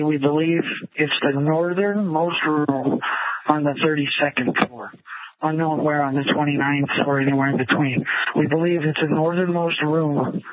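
A male police officer giving urgent tactical reports over the radio. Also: a little high. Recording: tinny police scanner radio.

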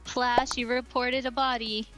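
A woman's voice: a little high, level tone, a little slow, fairly bright tone, soft background music. A woman playing an online game, chatting casually with the group. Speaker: game player.

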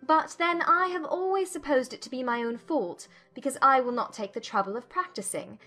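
Woman acting a high-voiced, witty young gentlewoman. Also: normal speed, faint music behind, full character voice. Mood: playful, teasing. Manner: poised, formal, teasing. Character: witty, self-possessed young English gentlewoman, playfully challenging. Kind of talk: dramatized audiobook acting.

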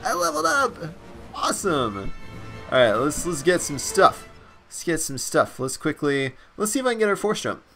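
Man, let's play host, raspy stuffed-up voice, excitable, faint game music behind. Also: a little high, normal speed. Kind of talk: gameplay commentary.

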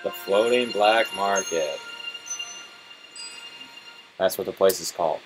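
A man's voice: low voice, slow pace, music in the background. A man giving casual, foul-mouthed and grumbling commentary as he plays a video game. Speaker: let's-play host playing and commenting. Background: video game sound.

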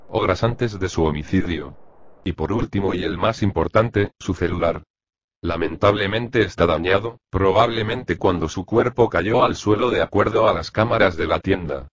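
Man as older police lab doc, lowish voice, very formal and methodical, crime drama, noisy background. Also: natural voice. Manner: formal, measured, explanatory. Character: methodical older male police forensic doctor. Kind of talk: scripted machinima crime drama dialogue.

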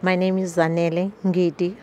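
A woman speaks proudly into a handheld mic. Her voice is slightly low. Faint ambient noise sits underneath. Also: little pitch movement, normal speed, a little mellow. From South Africa. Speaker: graduate's sister. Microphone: handheld furry mic.

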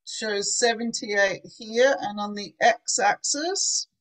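A woman teaching an online English class over a video call, slow and patient, praising her students often. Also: medium pitch, a bit bright, low-quality recording. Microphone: laptop webcam on a video call.

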